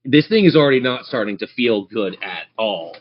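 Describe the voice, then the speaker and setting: A man's voice: mid-range voice, expressive, moderate pace, lo-fi sound. A man running a tabletop game as game master, narrating the action and chatting encouragingly with players.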